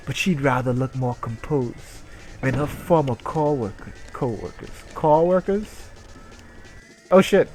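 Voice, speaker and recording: A man doing a mocking dramatic reading with asides, sarcastic, profane and exclamatory. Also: medium pitch. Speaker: streamer reading aloud.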